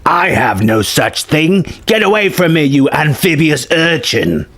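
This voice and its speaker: A man's voice: on the high side, sing-song, measured pace, a bit bright, exaggerated voice. A man voicing a rude, angry male junk trader with a barking, dismissive manner.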